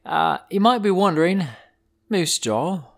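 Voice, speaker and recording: A man's voice: high voice, measured pace, gravelly. Playful man telling animal stories. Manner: playful, theatrical put-on accent. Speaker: host of a children's animal podcast. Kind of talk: informal storytelling narration for listeners. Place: indoors beside a wood stove.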